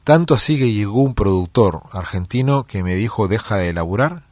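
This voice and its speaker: A middle-aged man from Peru, a musician giving interview answers in a relaxed storytelling way, with many fillers and restarts. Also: lowish voice, normal speed, no room sound, clean studio sound. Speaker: musician guest.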